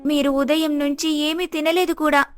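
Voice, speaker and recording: Woman playing a high-voiced, caring village wife. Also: little pitch movement, moderate pace, lightly acted. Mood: worried, caring. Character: kind, polite, caring poor village wife. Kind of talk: storybook dialogue acting.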